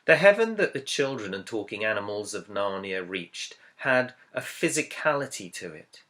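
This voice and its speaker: A man, an author, reading his own book introduction as scripted narration in a measured, earnest, reflective way. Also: on the low side, big pitch swings, pushed and bright. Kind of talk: scripted audiobook-style narration.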